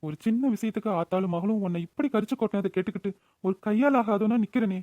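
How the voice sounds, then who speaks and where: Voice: medium pitch, quick pace, warm tone, dry recording, dull audio, lightly acted. An actor playing an earnest, put-upon grown elder brother in a cartoon, pleading and reproachful. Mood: anguished, apologetic. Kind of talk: Tamil cartoon voice-over acting.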